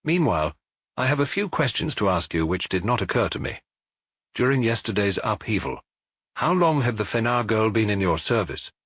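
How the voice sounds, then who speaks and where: A man's voice: lowish voice, very animated, moderate pace, a bit bright, breathy edge, no echo, exaggerated voice. A man playing a formal police inspector who questions witnesses politely but insistently, in an audiobook. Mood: polite, firm.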